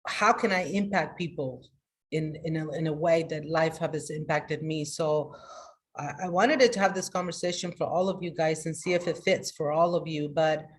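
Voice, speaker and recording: A woman life coach giving a live motivational talk to her online group. She talks energetically and emphatically, with many restarts, and moves between loud greetings and a soft, confiding tone. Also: low voice, normal speed.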